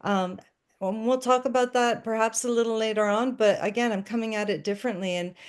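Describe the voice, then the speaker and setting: A woman's voice: fairly high pitch, steady tone, brisk, smooth. A woman from Canada, a singer-songwriter guest chatting casually in an interview, self-deprecating and laughing often.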